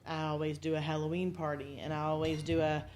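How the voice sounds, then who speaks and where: A woman's voice: sits low, little pitch movement, quick pace, noisy background. A woman in her forties talking candidly and casually as a podcast guest, often poking fun at herself. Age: 40s. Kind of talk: casual chat.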